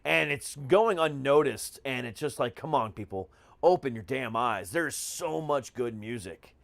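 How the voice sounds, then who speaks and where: A man's voice: mid-range voice, expressive, measured pace, vocal fry, no room sound. A man hosting a podcast in casual conversation, talking in an emphatic, slangy way with frequent swearing. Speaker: podcast host. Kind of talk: casual chat.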